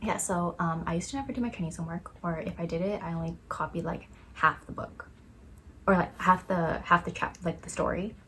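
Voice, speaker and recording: A woman's voice: on the low side, a little fast, a bit bright, slight background noise. A young woman co-hosting a casual podcast chat. She has a mixed Malaysian-Taiwanese accent and tells stories with lots of fillers and laughter. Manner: laughing storyteller, chatty with many fillers. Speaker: podcast co-host.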